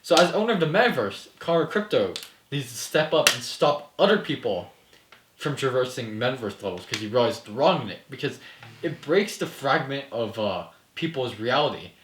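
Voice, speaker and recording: A man with a mid-pitched voice talks casually, as a filmmaker laying out his ideas in an explanatory way. He often tags points with a questioning "right?", and the room around him is noticeably echoey. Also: expressive, normal speed, a bit bright, a little hiss or hum. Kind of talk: casual post-table-read discussion.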